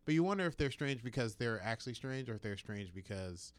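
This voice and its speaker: A man. He chats as a guest on a small radio show, rambling easily through childhood stories with plenty of "like". His pitch sits in an ordinary middle range. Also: expressive, fairly quick. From Brooklyn, New York. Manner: chatty storyteller, lots of "like". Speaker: guest musician. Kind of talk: casual radio chat.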